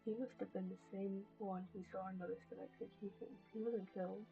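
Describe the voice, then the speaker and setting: A woman's voice: mid-range voice, fairly even intonation, fairly quick, vocal fry, lo-fi sound, lightly acted. An actress playing a young woman in a video game, recounting things in a plain, explanatory manner. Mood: matter-of-fact. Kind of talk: dramatic video game voice acting. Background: music.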